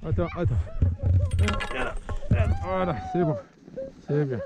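A man's voice: fairly high pitch, wildly swooping pitch, slow pace, creaky. A man skiing and filming as he coaches his children, calling out short, repeated, encouraging commands. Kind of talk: shouted ski coaching while filming. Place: outdoor snowy mountain ski slope and forest. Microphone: action camera worn while skiing. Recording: dull lo-fi action-camera sound with faint wind.

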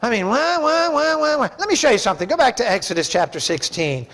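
A male pastor in his fifties delivers a sermon from a church pulpit. His voice sits high for a man. He talks in a folksy, conversational manner and drives his points home with emphasis. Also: sing-song, fast talker, a bit bright. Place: church sanctuary, preaching from a pulpit.

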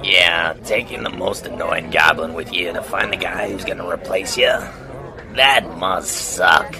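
A man's voice: deep voice, steady tone, a little slow, crowd noise. An actor playing a grumbling, defiant henchman in a fantasy audio drama, blunt and sarcastic. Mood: mocking.

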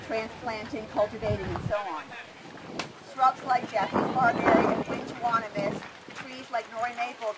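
A middle-aged woman gives an informal demonstration talk in an open grassy park. Her voice sits a little high. Outdoor ambient noise is clearly heard around her. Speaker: plant educator leading a walking tour.

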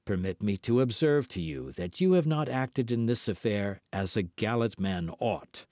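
A man voicing a haughty young musketeer in an audiobook, measured and coldly polite, with a mild but biting manner. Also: fairly low pitch, expressive, darkish tone, no room sound, clean studio sound, lightly acted. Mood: reproachful. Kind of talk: audiobook character dialogue.

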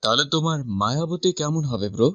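A man's voice: a little high, animated, brisk, a bit bright. A man playing a chummy young friend in an audio story, on a dull recording. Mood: friendly. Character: chummy young man greeting his bro. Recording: dull, lo-fi sound.

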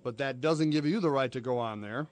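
A man's voice: mid-range voice, fairly quick, no room sound. An American man, a radio host making a prank phone call in a conversational, matter-of-fact but insistent way. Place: radio studio, dry close sound.

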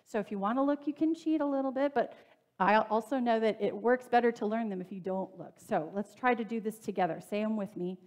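A woman pastor in her thirties giving a relaxed, conversational sermon in a church sanctuary, with everyday fillers and friendly asides to the congregation. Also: a little high, fairly quick. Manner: conversational, plain-spoken preaching with fillers and asides.

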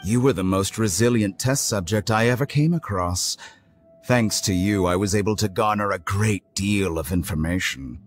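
An actor playing a smug, calculating scientist villain in a video game, suave and measured. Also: male voice, fairly low pitch, close to an everyday voice. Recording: background music under the voice.

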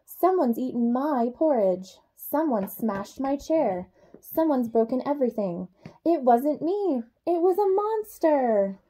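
A woman's voice: sits high, sing-song, slow pace, warm tone, exaggerated voice. A woman voicing shocked, complaining bears from a children's storybook in a plaintive way. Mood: aggrieved.

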